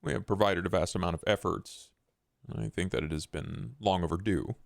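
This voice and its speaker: A man acts out a brooding vampire for a roleplay podcast. The voice is deep and full of gravel, captured close and dry. He speaks formally and deliberately, with quiet menace. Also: dry recording. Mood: brooding, stern. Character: grim, brooding, daunting male vampire. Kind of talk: in-character tabletop roleplay.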